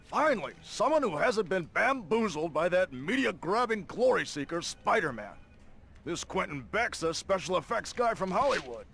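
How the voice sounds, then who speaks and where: Gravelly, high, blustering boss. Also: male voice, big pitch swings, normal speed, fairly bright tone, heavily acted. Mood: scornful bluster. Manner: bombastic, emphatic, scornful. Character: blustering, scornful male newspaper boss. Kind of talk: video game cutscene acting.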